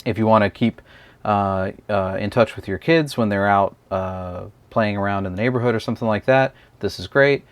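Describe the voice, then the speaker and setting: Male reviewer, low voice, hesitant filler-heavy delivery, dry close-miked sound. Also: normal speed, no room sound. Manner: hesitant, many ums and uhs, thinks aloud. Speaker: product reviewer. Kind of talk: casual unscripted product demo.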